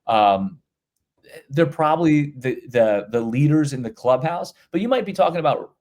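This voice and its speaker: A man's voice: on the high side, animated, moderate pace, a little mellow. A middle-aged man co-hosting a podcast, talking college football casually with many restarts and repeated words. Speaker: podcast co-host. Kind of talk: casual sports talk.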